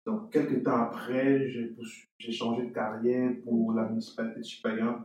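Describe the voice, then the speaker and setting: A man's voice: medium pitch, level tone, moderate pace, a little mellow. A young man from Cameroon, a podcast guest telling his story in an earnest way and repeating words as he thinks. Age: young adult. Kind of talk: conversational interview. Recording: echoey distant room sound.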